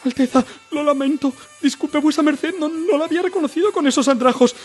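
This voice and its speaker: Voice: sits high, a little fast, soft background music, natural voice. A performer playing a humble palace guard, deferential and easily flustered, in a radionovela-style radio drama. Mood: flustered, servile. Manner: stammering apologies, deferential.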